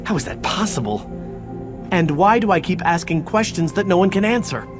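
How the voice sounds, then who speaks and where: Roguish, bemused knight for a fantasy game: wry banter, lighter pitch, music underneath. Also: male voice, lively intonation, normal speed. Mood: wry, bewildered. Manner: wry, theatrical. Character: bantering, self-mocking male knight, baffled but charming rogue. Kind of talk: dramatic fantasy game dialogue. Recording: studio voice under prominent music.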